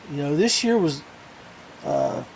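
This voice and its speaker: American guy rambling on his podcast, kinda high voice, stops and starts hunting for words, bit of noise behind. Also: vocal fry, poor audio. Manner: halting, searching for words. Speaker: podcast host. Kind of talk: casual, rambling solo podcast talk.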